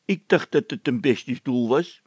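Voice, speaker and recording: A man's voice: medium pitch, a little slow, a little mellow, slightly rough, no echo. A man voicing a sheepish, anxious workman with a timid, plaintive way of talking in a read-aloud comic story.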